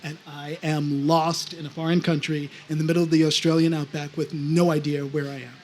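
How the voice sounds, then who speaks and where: A man's voice: on the high side, level tone, fairly quick, a little hiss or hum. A man from the United States, a panelist author, telling a story animatedly on a live panel and acting out other people's voices.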